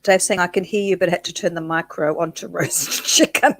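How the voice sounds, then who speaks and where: A woman, co-hosting a casual live chat in a soft, slightly husky voice, close to the mic and often giggling and teasing. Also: on the low side, steady tone, fairly quick. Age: 60s. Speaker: co-host.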